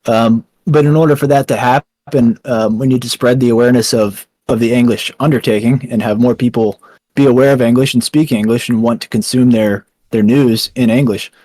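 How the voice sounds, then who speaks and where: A man's voice: mid-range voice, steady tone, brisk, mellow, no echo, rough recording. A young man with a Texan accent, answering interview questions with many ums and restarts. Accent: Texan American. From Texas, USA. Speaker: founder and writer of a small news site.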